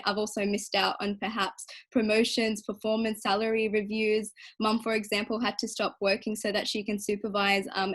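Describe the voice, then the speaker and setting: Young woman speaking evenly on a panel. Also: medium pitch. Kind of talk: reflective panel discussion.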